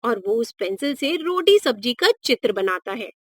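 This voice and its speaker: A woman's voice: fairly high pitch, sing-song, normal speed, no echo, studio quality. A woman narrating a scripted moral story for children.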